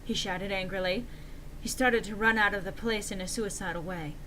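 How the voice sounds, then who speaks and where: A woman's voice: medium pitch, moderate pace, sharp tone, poor audio. Theatrical woman reader over background noise. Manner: theatrical, exaggerated, mock-earnest. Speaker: narrator. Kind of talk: dramatic reading of fan fiction.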